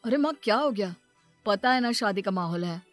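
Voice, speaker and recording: A woman's voice: medium pitch, animated, fairly quick, soft background music, natural voice. A woman voicing an exasperated, easily annoyed husband who lectures, in a cartoon story. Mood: concerned.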